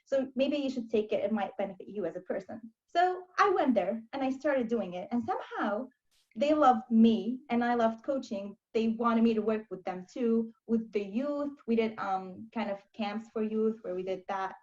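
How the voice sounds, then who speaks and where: A woman's voice: fairly high pitch, darkish tone, lo-fi sound. A young woman from Lebanon, a life coach, telling her story candidly in an interview over a video call. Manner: candid, storytelling, quick asides. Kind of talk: interview conversation.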